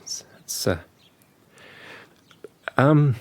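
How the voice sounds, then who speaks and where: An actor playing an anxious, earnest Victorian doctor in an audio drama, speaking in a hesitant, formal way. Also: male voice, extremely slow. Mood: nervous, halting. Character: anxious, earnest Victorian asylum doctor, painfully awkward suitor. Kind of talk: audio drama acting with diary narration.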